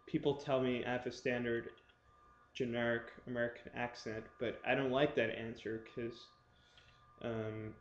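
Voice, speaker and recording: A young man talking casually to his viewers in a halting way, with many pauses and fillers. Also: mid-range voice, echoey room, muffled recording, slight background noise. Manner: slow, halting, many ums. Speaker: vlogger. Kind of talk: casual talk to camera.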